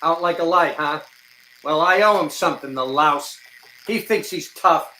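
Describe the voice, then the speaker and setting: Man playing a high-voiced, spiteful gangster henchman. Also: moderate pace, bright, forceful tone. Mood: gloating spite. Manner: curt, belligerent, slangy. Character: brash, spiteful gunman henchman of a crime boss. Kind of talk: old time radio detective drama acting. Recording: echoey room, faint noise.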